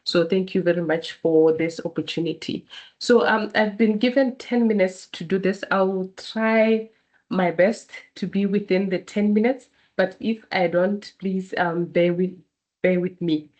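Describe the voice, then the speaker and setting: Woman w a cold giving a keynote over a video call, lots of ums, kinda halting. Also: medium pitch, steady tone, a little mellow. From Botswana. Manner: hesitant, many ums. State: has a cold. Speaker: keynote speaker. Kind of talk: online webinar presentation.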